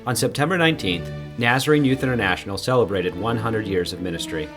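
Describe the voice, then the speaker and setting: A man's voice: on the low side, expressive, fast, over music. A male podcast host giving a scripted introduction and asking interview questions in a polite, welcoming way.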